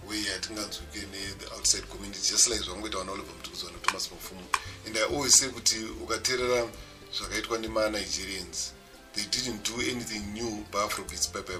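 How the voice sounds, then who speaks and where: Zimbabwean man, lowish voice, lecturing over voice note, distant mic, music underneath. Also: bright, forceful tone. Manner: mixes English and Shona mid-sentence, explanatory. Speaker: music producer giving a seminar. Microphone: phone.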